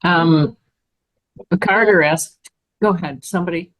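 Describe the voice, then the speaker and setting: A woman's voice: lowish voice, measured pace, rough recording. A woman moderating informally, relaying written comments and putting questions to a group. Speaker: moderator reading audience comments.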